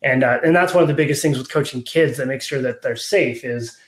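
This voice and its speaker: A man in his thirties hosting a casual podcast, teasing and relaxed, explaining youth weightlifting coaching in long, run-on stretches. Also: medium pitch, brisk. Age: 30s. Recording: roomy echo.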